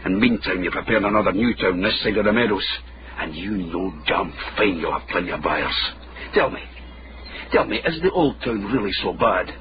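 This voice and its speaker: Deep-voiced, sardonic Scottish street caddy. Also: male voice, animated, moderate pace. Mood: defiant. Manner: sardonic, accusing, knowing. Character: shrewd, defiant male caddy of old Edinburgh. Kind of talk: radio period drama acting. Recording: muffled, noisy old radio drama recording.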